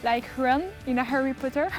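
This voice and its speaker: Slow, high-pitched young Frenchwoman, hesitant speaker. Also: music in the background, poor audio. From south of France. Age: young adult. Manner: hesitant, searching for words, giggly. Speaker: exchange student interviewee. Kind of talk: casual interview answers.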